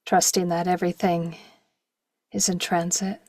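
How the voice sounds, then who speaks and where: A woman leading a guided meditation slowly and gently in short, soft phrases, with a breathy voice that at times nears a whisper. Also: lowish voice, little pitch movement, no room sound, clean studio sound. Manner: slow, gentle, with long pauses and short phrases.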